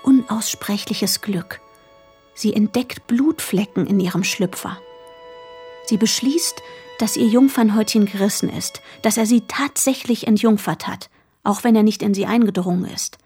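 A woman performing as a detached narrator of a young woman's story in a radio drama, measured and matter-of-fact. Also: mid-range voice, dark tone, faint music behind, lightly acted. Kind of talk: literary radio drama narration, third person.

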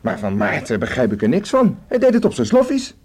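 A young student in a radio drama, courteous yet frank. His voice is dark and slightly husky, with a plain-spoken delivery. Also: mid-range voice, wildly swooping pitch, brisk. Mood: reluctant. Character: young male student, polite but frank. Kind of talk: Dutch radio play acting.

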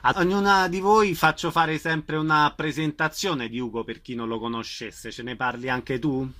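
Male radio host, fairly high, forward bright voice, conversational interviewing. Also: steady tone, moderate pace.

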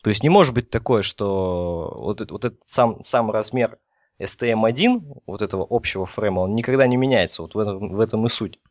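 Low-pitched man explaining in dry sound. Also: fairly quick, dry recording. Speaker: podcast guest explaining telecom technology. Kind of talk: informal technical discussion.

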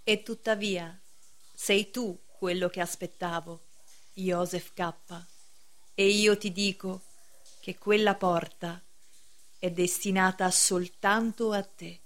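An actress playing a solemn, severe preacher in a radio play, speaking in a measured, declamatory way. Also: female voice, mid-range voice, fairly even intonation, natural voice. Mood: stern, oracular. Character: solemn, severe female preacher, cryptic gatekeeper of justice. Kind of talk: theatrical radio drama acting.

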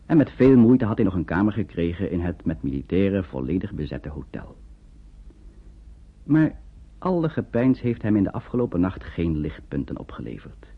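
Deep, lively male radio-play narrator. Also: husky. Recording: very muffled old radio recording.

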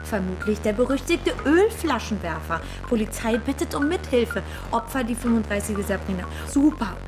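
A spiteful woman, voiced by an actress, who mocks and scolds in a high voice. Music runs clearly underneath this radio drama scene. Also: animated, normal speed, natural voice, low-quality recording. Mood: scornful. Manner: mocking, cutting and scornful. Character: sharp-tongued, spiteful adult woman.

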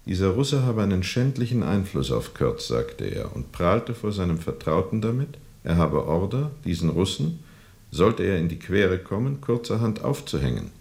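An actor playing a grave, seasoned sea captain who recounts a jungle river journey in a deliberate, brooding way for a radio drama. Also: male voice, sits low, big pitch swings, vocal fry, a little mellow, heavily acted. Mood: sombre testimony. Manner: deliberate, brooding, measured storytelling. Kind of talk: radio drama narration, recounting under questioning.

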